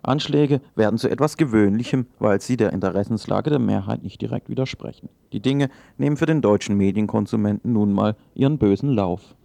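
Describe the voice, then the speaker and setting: A man delivers sober radio commentary in a low voice with noticeable creak. The delivery is formal and critical, and the recording is dry and close. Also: fairly quick, hardly any pauses, dark tone, no echo. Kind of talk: scripted political commentary.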